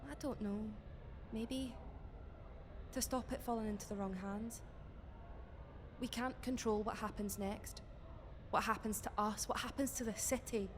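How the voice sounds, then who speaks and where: A woman's voice: a little high, fairly even intonation, measured pace, pauses often, close to an everyday voice. A woman playing an urgent, questioning young rebel in an audio drama, talking in short, hesitant phrases. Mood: anxious resolve. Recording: long reverb, faint background noise.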